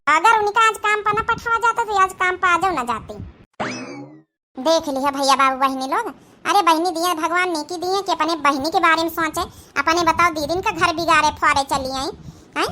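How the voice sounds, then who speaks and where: A woman's voice: extremely high pitch, moderate pace, sharp tone, a little hiss or hum. A woman voicing an aggrieved, scheming young village housewife in a homemade comedy cartoon, with an Awadhi accent and a dramatic, complaining manner. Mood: indignant.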